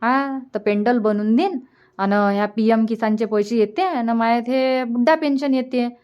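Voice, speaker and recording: A woman's voice: a little high, normal speed, smooth, dull audio, lightly acted. A woman playing a generous, practical village mother in a cartoon comedy, insistently reassuring in a Varhadi Marathi accent. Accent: Varhadi Marathi of Vidarbha. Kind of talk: dialect cartoon comedy dialogue.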